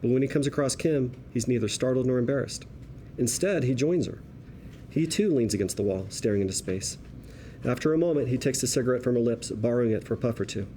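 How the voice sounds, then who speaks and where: Mellow, wry male script narrator. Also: mid-range voice, quick pace, slight background noise. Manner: wry, storytelling. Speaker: stage directions reader. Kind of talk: scripted narration at a table read.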